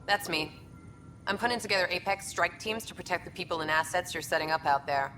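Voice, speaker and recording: Game lieutenant: determined, brisk, forceful bright tone, lowish pitch. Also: female voice, faint music behind, poor audio. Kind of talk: video game dialogue acting. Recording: faint background ambience.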